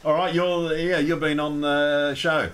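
A man's voice: fairly high pitch, little pitch movement, measured pace, fairly bright tone. Rambling Australian man hosting a call-in. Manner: rambling, chatty, frequent ums and restarts. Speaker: call-in show host. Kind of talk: casual call-in chat.

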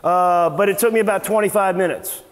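Middle-aged man, service manager, high-ish voice, loose and chatty with lots of "um"s, echoey garage, far-off camera. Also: steady tone, brisk. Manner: casual, rambling, many fillers and restarts. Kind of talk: informal seminar talk. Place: large workshop garage indoors. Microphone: far camera, no mic on him.

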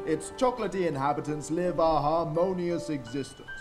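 A grandiose storybook narrator played by a man, pitched fairly high. He savours each alliterative phrase theatrically, with music clearly playing underneath in a theatre recording. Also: normal speed, natural voice. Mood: grand, savouring.

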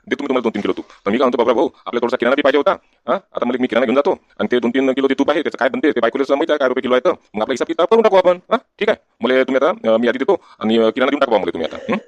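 A man's voice: mid-range voice, expressive, racing, no room sound, highs cut off, close to an everyday voice. A man voicing a wily, wheedling village debtor in a comic cartoon, with a rural Varhadi Marathi accent and questioning grunts tacked onto sentences. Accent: rural Maharashtra, Varhadi. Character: wily village husband, evasive debtor sweet-talking the shopkeeper.

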